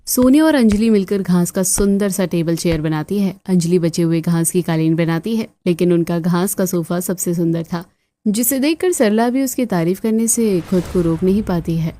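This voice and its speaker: A woman's voice: fairly low pitch, moderate pace, mellow. A woman reading scripted narration for a cartoon story.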